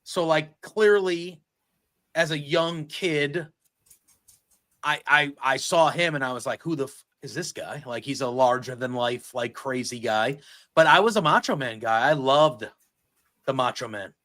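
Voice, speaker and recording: A man's voice: medium pitch, measured pace, a bit bright. A man in his forties in casual chat, rambling and laughing, swearing freely. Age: 40s.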